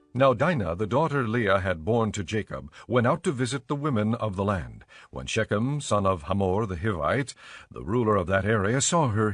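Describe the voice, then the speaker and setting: A man narrating a dramatized audio Bible in a measured storytelling manner. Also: fairly low pitch, breathy edge.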